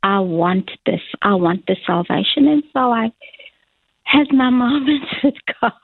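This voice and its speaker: South african-born lady in her 60s chatting on a phone line, gravelly edges, laughs a lot. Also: a little high, expressive, a little slow, no room sound. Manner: chatty, laughs often, rambling. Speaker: interview guest. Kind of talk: casual testimony interview.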